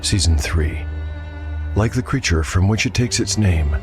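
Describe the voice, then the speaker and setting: A man hosting and narrating a solo tabletop game as a dark fantasy audio drama, measured and grave, with dice-roll commentary. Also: sits low, animated. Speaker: host and solo game master. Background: music.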